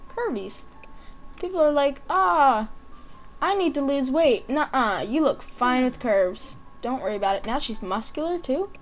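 A woman with a high voice giving casual, chatty commentary as a gaming video host, thinking aloud in short offhand remarks. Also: animated, measured pace, faint music behind.

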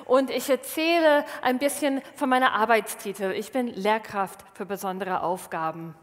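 A woman in her thirties from Wisconsin, a native English speaker with an American accent, giving a lively, self-mocking stage talk in a large hall. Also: fairly high pitch, moderate pace. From Wisconsin, USA. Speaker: science slam speaker. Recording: reverberant hall.

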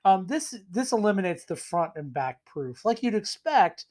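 A man in his thirties with a cold, hoarse and rambling as he tastes and reviews whiskey in a casual home vlog. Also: high-pitched, very animated, normal speed, darkish tone. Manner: rambling, self-deprecating, enthusiastic. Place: small room indoors at home.

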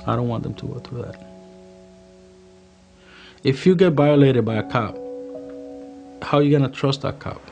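A man in his thirties who grew up in the Dominican Republic speaks as a police officer in a documentary interview. He talks in an earnest, measured way, at a mid pitch, and repeats key phrases for emphasis. A clear guitar music bed plays under his voice. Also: darkish tone. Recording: music bed playing behind voice.

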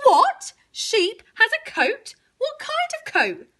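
Storybook cow: high, bright, whiny and envious, bawling with mooing drama. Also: female voice, huge pitch swings, heavily acted. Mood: eager, indignant. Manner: bawling, mooing, drawn-out wails. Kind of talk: children's storybook character voice.

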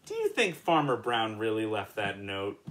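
A man in his twenties with an American accent reads a picture book to young children in a playful, expressive way, asking the listener questions. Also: fairly low pitch, moderate pace, a little hiss or hum. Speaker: children's story reader. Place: indoors, small room in front of a patterned cloth.

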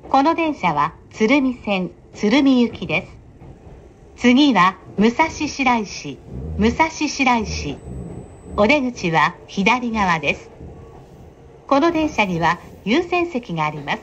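A woman's voice: big pitch swings, slow pace. A woman train announcer reading scripted station announcements in a polite, formal, carefully enunciated manner. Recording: dull train PA with clear rumble. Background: train running noise.

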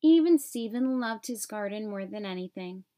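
A woman reading a children's picture book aloud as an expressive storyteller, phrasing clearly for young children. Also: mid-range voice, normal speed.

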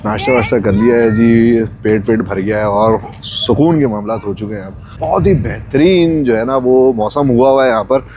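A man's voice: mid-range voice, normal speed, hardly any pauses, darkish tone, dull audio. Chatty man vlogging outdoors. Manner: chatty, pointing things out to viewers. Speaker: travel vlogger. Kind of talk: casual vlog narration. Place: outdoors on a mountain picnic spot. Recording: faint outdoor noise. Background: wind and passing vehicles.

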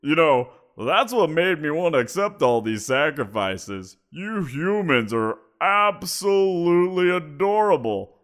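A man's voice: fairly high pitch, big pitch swings, a little slow, a bit bright. A man voicing a giant wolf creature in an audio roleplay, teasing and amused, chuckling as he talks. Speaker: voice actor.

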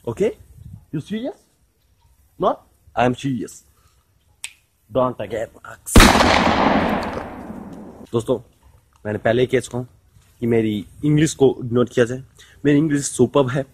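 A man's voice: medium pitch, animated, slow, long pauses, heavy background noise. A playful young man from India, vlogging casually to a camera held at arm's length, at home and outdoors in a village. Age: young adult. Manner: playful, jumps between Hindi and broken English. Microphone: handheld camera at arm's length.

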